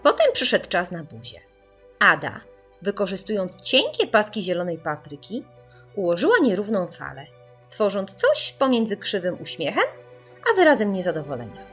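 A woman reading a children's story in an expressive, theatrical storytelling manner. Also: medium pitch, normal speed, slightly rough, faint music behind. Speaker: audiobook narrator.

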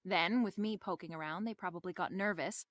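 A woman's voice: on the low side, a little fast, dry recording, studio quality, lightly acted. An actress playing a wry, confident female private detective in a radio drama, with a breezy, slangy, wisecracking delivery.

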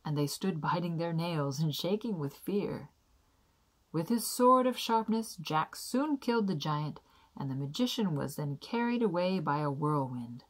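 A young woman narrates a storybook tale for children. Her voice sits low for a woman and has a husky, breathy grain. She reads in a deliberate, careful way, as a storyteller in a small room. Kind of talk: reading a children's fairy tale aloud.

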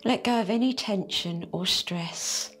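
A woman leading a guided meditation in a soft, soothing, unhurried way. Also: medium pitch. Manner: slow, soft and soothing, drawn-out phrases. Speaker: meditation teacher. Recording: faint music behind the voice.

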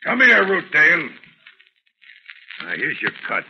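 A man's voice: fairly high pitch, huge pitch swings, measured pace, full character voice. An actor playing a callous, mocking gang boss with slangy tough-guy talk in an old radio drama. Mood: brusque, mocking. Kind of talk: 1930s radio gangster acting. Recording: muffled, noisy 1930s radio recording.

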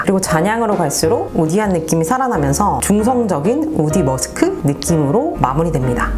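A woman perfumer calmly reviewing a fragrance, her voice a bit nasal and stuffy from a head cold. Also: mid-range voice. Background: background music.

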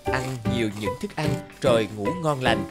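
A man's voice: mid-range voice, normal speed. A man giving scripted narration of a moral folk tale. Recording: loud music behind the voice.

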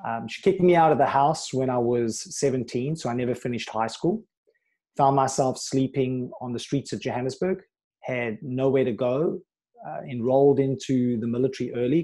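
A middle-aged man from South Africa telling his life story as a podcast guest, earnest and steady, with pauses and fillers, on a video call. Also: medium pitch. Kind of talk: candid life story in a conversation. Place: small room with a plain wall. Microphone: wired earbud mic on a video call.

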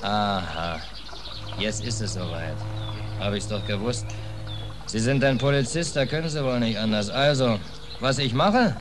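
A man's voice: fairly low pitch, unhurried, music in the background, lightly acted. A man playing a hungry, penniless drifting sailor in a 1940s radio drama, wry, muttering and colloquial. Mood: sardonic, defiant.

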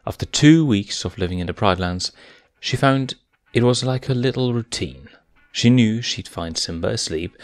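A man with a very low voice narrates a fan story with measured, dramatic delivery. He is recorded close and dry, with faint music underneath. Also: dry recording. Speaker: reader. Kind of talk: fanfiction audiobook narration.

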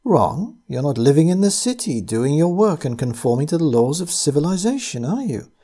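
A man reading aloud, voicing a soft-spoken, teasing young woman who pilots a flying ship in a storybook. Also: a little high, sing-song, normal speed, slightly rough, voice pushed up. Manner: soft, lilting, playfully condescending.

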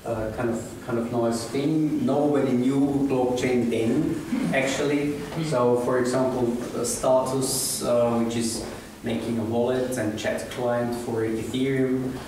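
A man's voice: medium pitch, steady tone, takes it slowly, darkish tone. A middle-aged man giving an informal meetup presentation to a seated audience, talking hesitantly with many fillers and restarts. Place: small meeting room with seated audience, indoors. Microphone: room camera from the back of the audience. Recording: echoey room, distant from camera, noisy.

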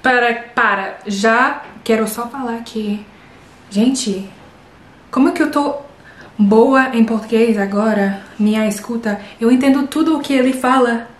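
A woman's voice: a little high, a little slow. Chatty woman, roomy living room. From Canada. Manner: upbeat, chatty, friendly asides. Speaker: reaction video host. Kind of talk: casual chat to viewers. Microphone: earphones with inline mic. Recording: roomy, faint hiss.

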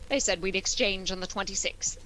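A woman playing a quick-witted, sardonic schoolteacher with dry delivery in an old-time radio comedy. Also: medium pitch, fairly bright tone, lightly acted, poor audio. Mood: wry. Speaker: lead actor in a radio play recreation. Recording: clear background noise.

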